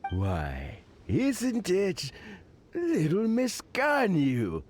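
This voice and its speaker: Kindly old official in a game, slow easy drawl, voice swooping all over, music behind. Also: male voice, high voice, wildly swooping pitch, darkish tone, a little hiss or hum, exaggerated voice. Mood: genial. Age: older.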